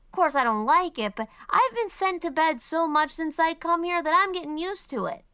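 A woman's voice: extremely high pitch, animated, normal speed, sharp tone, dry recording, voice pushed up, exaggerated voice. A woman voicing a curious, stubborn six-year-old boy who asks insistent, aggrieved questions. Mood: scornful. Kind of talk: audiobook character voice.

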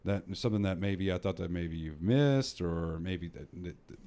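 Low-voiced man hosting a rambling podcast. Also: lively intonation, normal speed, warm tone. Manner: rambling, laughs often, many restarts. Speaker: podcast host. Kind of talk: casual podcast chat.

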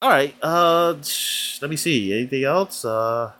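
A man's voice: a little high, a little slow, sharp tone. A man co-hosting a casual gaming news podcast, talking bluntly, swearing freely and laughing a lot. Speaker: podcast co-host.

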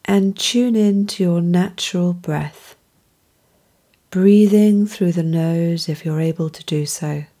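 A woman leading a guided mindfulness meditation in a calm, soothing manner, with gentle, unhurried phrasing. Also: lowish voice.

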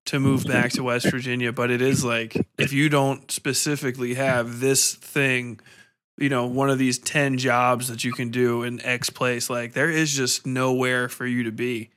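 A man's voice: mid-range voice, level tone, unhurried, fairly bright tone. A man in his mid-thirties with an American accent, chatting casually and in a rambling, informal way as an interview host. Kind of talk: casual chat.

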